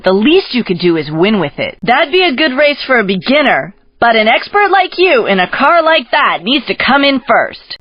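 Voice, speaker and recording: A woman's voice: sits high, no room sound, lo-fi sound. A woman playing a sassy, cocky street racing coach in a video game, pushy and full of wisecracks.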